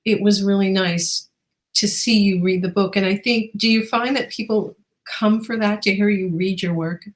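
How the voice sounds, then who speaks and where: Lady interviewer, medium pitch, chatty and encouraging, casual questions. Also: steady tone, moderate pace. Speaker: interviewer and host.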